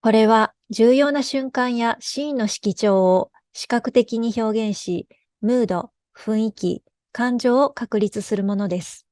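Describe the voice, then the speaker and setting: A woman's voice: fairly high pitch, a little slow, darkish tone, dry recording. A woman giving a scripted conference presentation as a lead artist.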